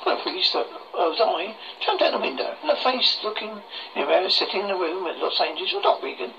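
A horror host reading a scripted story aloud in a halting way, stumbling over words, on a muffled lo-fi recording. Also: mid-range voice, sing-song, gravelly, a crowd in the background. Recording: muffled lo-fi recording with faint noise.

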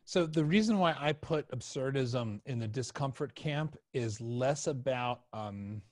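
A middle-aged man hosting a casual podcast, explaining ideas in a halting way as he thinks aloud. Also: medium pitch, animated, darkish tone, dry recording, clean studio sound. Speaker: podcast host, video editor. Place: indoor room, video call. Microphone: podcast mic on an arm, headphones.

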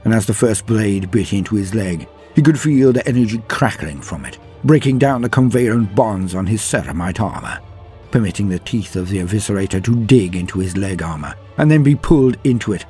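A man narrating a scripted story in a dramatic, grave, measured manner with pauses for effect, his voice husky. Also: lowish voice, animated, a little mellow. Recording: music behind the voice. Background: background music.

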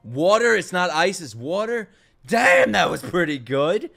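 A man's voice: sits high, very animated, measured pace, creaky, a bit bright. A man streaming a casual live reaction, slangy, sweary and exclamatory. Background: game trailer music.

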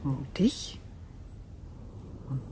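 An actress playing an ancient, calm and probing crystal being in a radio drama, speaking in a measured, formal, breathy way. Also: female voice, whispered. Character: ancient female super-crystal intelligence, calm, probing, wary.

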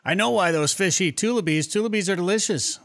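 A man's voice: high voice, fairly quick, gravelly, a bit bright. A man in his forties hosting a casual podcast interview, speaking into a studio microphone. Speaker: podcast host. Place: home studio room indoors. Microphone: studio mic with pop filter, headphones.

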